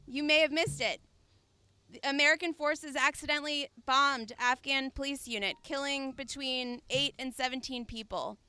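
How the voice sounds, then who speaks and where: A woman giving semi-prepared closing remarks at a press conference, somewhat halting as she searches for words. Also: sits high, bright, forceful tone, dry recording.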